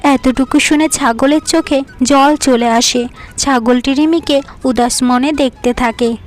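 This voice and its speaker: Female storyteller for fairy-tale cartoon narration, higher-pitched, faint music bed. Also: steady tone, unhurried.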